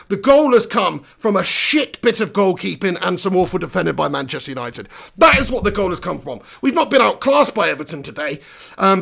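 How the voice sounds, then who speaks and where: Hoarse, gravelly football fan ranting. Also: male voice, high voice. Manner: animated, exasperated ranting with mild swearing. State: hoarse, losing his voice. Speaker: football fan channel host. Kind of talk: live post-match reaction rant.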